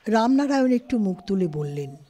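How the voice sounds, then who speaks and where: A woman narrating a literary story in an expressive, scripted style. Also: mid-range voice, moderate pace, a little hiss or hum. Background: music.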